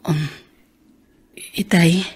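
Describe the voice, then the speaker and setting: A woman acting a kind, soft-hearted daughter with a husky voice and a Filipino accent in a radio drama. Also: on the low side, fairly even intonation, drawn-out words, heavily acted. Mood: caring, hesitant.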